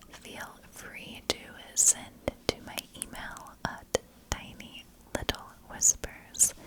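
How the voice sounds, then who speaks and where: A woman hosting a storytelling channel in a soft ASMR whisper, with gentle, deliberate phrasing. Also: poor audio. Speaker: host of a spooky storytelling channel.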